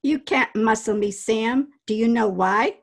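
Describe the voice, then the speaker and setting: Slow-talking, tough underworld dame, played by a woman. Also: fairly high pitch, gravelly, breathy edge, heavily acted. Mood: defiant. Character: tough, cagey underworld juke joint woman. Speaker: amateur radio play actor. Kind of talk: 1940s radio crime drama acting. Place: at home, recorded over video call.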